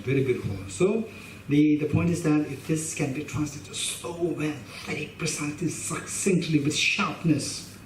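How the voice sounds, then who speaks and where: Tibetan-accented man teaching in a roomy hall. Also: fairly high pitch. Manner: emphatic, repeats and restarts phrases, gestures while talking. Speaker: Buddhist teacher. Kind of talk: teaching a class. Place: meditation hall with shrine, indoors. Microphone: stand mic in front of him. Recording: roomy hall sound, mic a bit distant, faint hiss.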